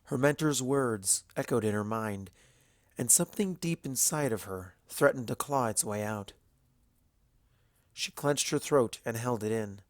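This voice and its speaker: A man narrating dramatic fiction from a script in a measured, solemn way. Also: mid-range voice, no room sound, clean studio sound.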